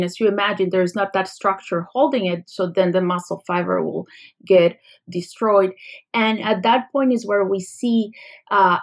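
A woman from Colombia speaks with a Colombian Spanish accent, a middle-aged physician walking a listener through a condition step by step. She leans on analogies and the odd "uh" as she explains. Her voice sits at a middle pitch. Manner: explanatory, uses analogies, some fillers. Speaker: pediatric neurologist guest. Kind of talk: podcast interview, explaining a medical topic.

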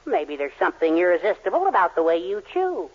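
Sardonic older man, high-pitched, swooping, mock-pompous delivery, noisy old radio comedy. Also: wildly swooping pitch, fairly quick. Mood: wryly teasing. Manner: dry, mock-pompous teasing. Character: wry, sarcastic older male household head. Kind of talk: 1940s radio sitcom acting. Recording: muffled, noisy 1940s radio recording.

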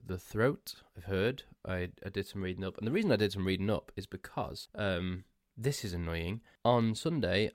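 A man's voice: sits low, no echo, studio quality. A man hosting a solo podcast, stuffy and losing his voice, rambling and self-mocking with frequent laughs. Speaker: podcast host.

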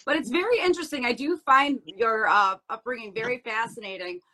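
A woman's voice: on the high side, brisk, bright, forceful tone, rough recording. A woman co-hosting a casual interview, inquisitive and rephrasing what she hears as she probes. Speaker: co-host interviewer.

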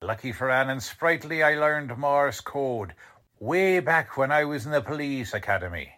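An actor playing a tough, confident police lieutenant in 1940s-style radio drama. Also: male voice, mid-range voice, animated, normal speed, bright, forceful tone. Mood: confident, wry.

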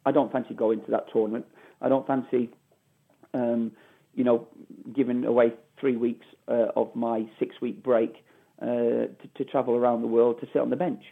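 A man's voice: mid-range voice, little pitch movement, normal speed, creaky, slightly rough. A middle-aged man with a Mancunian accent, a former footballer answering interview questions in an emphatic way that repeats phrases for stress, heard down a phone line. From England, Manchester. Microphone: phone call.

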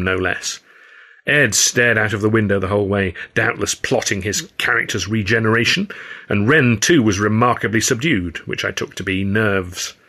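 Low-voiced man reading a story. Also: a bit bright. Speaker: author reading own novel as narrator. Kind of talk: audiobook narration, first-person storytelling.

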